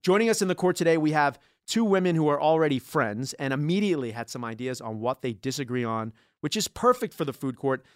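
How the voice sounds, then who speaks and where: A man's voice: fairly high pitch, expressive, fast, hardly any pauses, no room sound, clean studio sound. A man hosting a food podcast as a playful judge, joking and laughing with his guests. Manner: jokey, laughs often.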